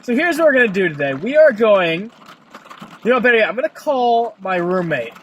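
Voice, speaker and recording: High-pitched man ranting about movies. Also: very animated, brisk, fairly bright tone, a little hiss or hum. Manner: emphatic, profane ranting. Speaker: podcast co-host reviewing movies. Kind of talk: casual movie-review chat.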